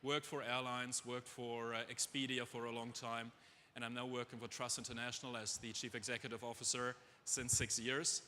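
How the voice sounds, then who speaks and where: Echoey large hall, middle-aged man, German accent, forceful bright voice, conference presenter, informal, self-deprecating humour. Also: medium pitch, little pitch movement, moderate pace, slight background noise. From Germany, raised in Dubai. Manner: self-deprecating, conversational, rhetorical asides to audience. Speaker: chief executive speaking on stage.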